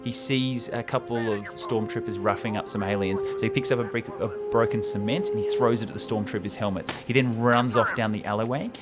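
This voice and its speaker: A man's voice: fairly low pitch, fairly even intonation, fairly quick, hardly any pauses, over loud music. A man co-hosting a show, retelling a film script in an informal, enthusiastic, chatty way. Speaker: podcast co-host.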